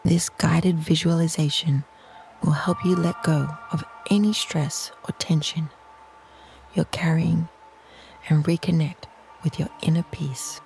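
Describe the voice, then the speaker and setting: A meditation narrator speaking slowly and softly in a soothing, near-whispered delivery, reading a scripted guided visualization. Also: mid-range voice, steady tone, faint music behind. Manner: slow, soft, soothing, breathy near-whisper phrasing.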